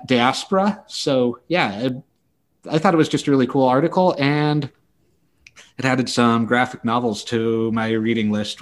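A man speaks as a podcast co-host at a middling pitch. He talks through book news casually and thoughtfully, pausing and correcting himself as he goes.

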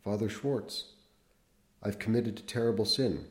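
A man reading the lines of a frightened eleven-year-old boy, despairing and rushed. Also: fairly low pitch, steady tone, a little mellow, voice pushed up, natural voice. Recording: roomy echo.

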